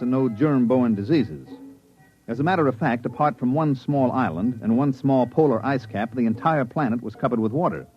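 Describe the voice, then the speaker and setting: A man's voice: medium pitch, close to an everyday voice. Man acting a wry surveyor, muffled radio sound. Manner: dry, conversational, wry. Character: wry, skeptical male planetary surveyor telling his misadventure. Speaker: narrator and lead character. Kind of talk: scripted radio drama narration and dialogue. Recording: muffled old radio drama recording.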